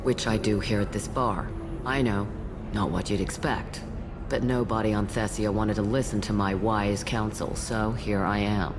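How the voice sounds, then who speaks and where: A woman's voice: very deep voice, steady tone, moderate pace. A woman playing a world-weary, foul-mouthed old alien matriarch bartender in a video game, with sardonic, dry delivery. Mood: wry. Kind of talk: video game character acting. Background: loud background music.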